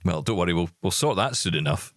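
A deep-voiced man co-hosts a podcast in relaxed, rambling chat. His voice swings freely up and down as he talks, upbeat and easygoing. The sound is close and dry, with no room around it. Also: fast, no room sound. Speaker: podcast co-host.

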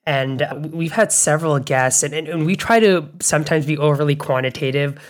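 A man from Bangladesh co-hosting a podcast interview, speaking in a reflective, measured way with frequent restarts. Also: fairly high pitch. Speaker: podcast co-host. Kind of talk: conversational interview.

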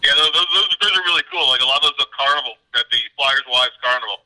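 Fast, very sharp-voiced man talking sports. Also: medium pitch, low-quality recording. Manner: halting, repeats words. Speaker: hockey writer, interview guest. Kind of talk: conversational sports analysis.

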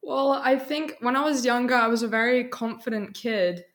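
A woman's voice: on the high side, moderate pace. A young adult woman, a student guest, telling personal experiences conversationally in a candid, thoughtful way. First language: English.

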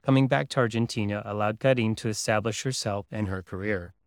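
A man narrating the story and asking questions in a measured, plain delivery. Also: on the low side, no echo, clean studio sound. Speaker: host and narrator. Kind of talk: scripted narration and interview questions.

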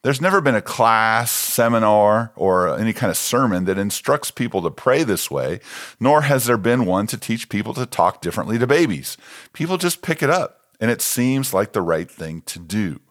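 A man's voice: lowish voice, animated, normal speed, fairly bright tone. An American man, a pastor, reading a scripted devotional.

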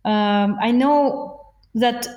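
A woman's voice: a little high, drawn-out words, dark tone, reverberant. A woman with a Russian accent, a voice coach talking earnestly in an interview and laughing often. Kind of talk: podcast interview.

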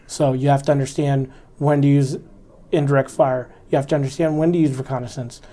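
Steady, even-toned army officer. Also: male voice, mid-range voice, brisk. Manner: earnest, instructive, stresses fundamentals. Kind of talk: reflective interview talk.

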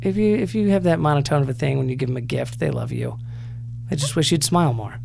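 Male advice-show co-host, relaxed chatty delivery, playful needling, noticeable vocal fry, slight hum behind. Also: on the high side, quick pace. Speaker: podcast co-host, therapist. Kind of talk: casual chat, advice podcast.

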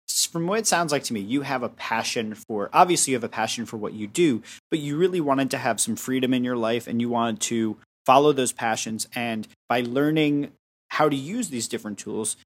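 A young man hosts a podcast in a voice of ordinary middle pitch. He talks in long, winding sentences with small restarts, recapping what his guest said before he moves to the next question. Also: moderate pace. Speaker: podcast host. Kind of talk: casual interview chat.

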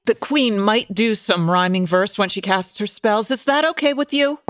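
A woman's voice: mid-range voice, moderate pace, no room sound, clean studio sound. A woman as announcer, giving theatrical storybook narration in the manner of old-time radio.

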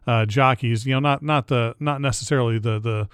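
A man's voice: mid-range voice, steady tone, a little fast, clean tone, no room sound, clean studio sound. A man hosting a podcast interview, chatty and curious, often restarting his phrases. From Indiana area. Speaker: podcast host. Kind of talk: casual interview.

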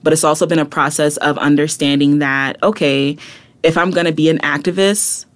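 A woman's voice: low voice, fairly even intonation, normal speed. A young adult woman, a poet and activist, talking passionately as a podcast guest, with frequent "like" and "right?" asides. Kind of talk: reflective casual interview talk.

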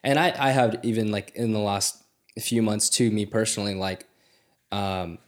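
A man's voice: on the low side, normal speed. A man hosting a faith podcast, reflective and affirming, with frequent "mm-hmm"s. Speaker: podcast host. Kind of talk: casual faith conversation.

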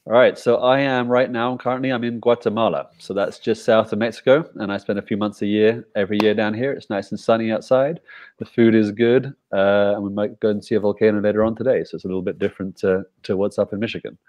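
A man's voice: fairly low pitch, fairly even intonation, fast, darkish tone, no echo, muffled recording. A British-born man, a startup founder, explaining his app eagerly on a video call and checking in with "right?". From Britain. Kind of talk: casual video call interview.

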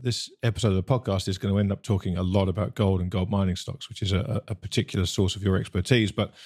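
Articulate podcast host, a man. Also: fairly low pitch. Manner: articulate, warm scripted intro then relaxed chat. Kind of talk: conversational interview.

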